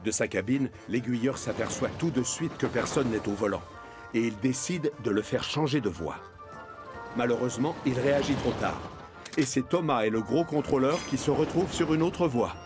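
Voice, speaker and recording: A male narrator tells a children's story. His voice is medium in pitch, with clear music playing underneath. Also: lively intonation, moderate pace.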